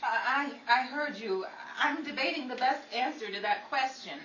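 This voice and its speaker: Woman acting high, flustered 1940s radio-comedy dame. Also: normal speed, lo-fi sound, natural voice. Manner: theatrical, flustered, exclaiming. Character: Betty, flustered, scatterbrained, quick-witted 1940s Hollywood woman. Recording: echoey room, distant mic, faint noise.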